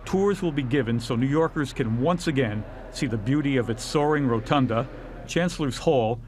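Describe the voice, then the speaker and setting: A man's voice: medium pitch, moderate pace, a little mellow, a little hiss or hum. An American man narrating a scripted television news report as a reporter.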